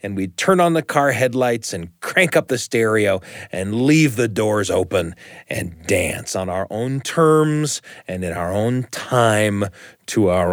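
A man's voice: on the low side, no echo, studio quality. A man hosting, telling a nostalgic personal story in a reflective way. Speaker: host. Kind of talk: storytelling monologue.

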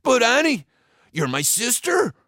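A man voicing Dirty Joe's part in a story, a loud, blustering male pirate captain who roars his lines. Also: on the high side, big pitch swings, a little slow, vocal fry, no echo, studio quality, pitched above the performer's own voice, exaggerated voice. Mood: pleading, whining.

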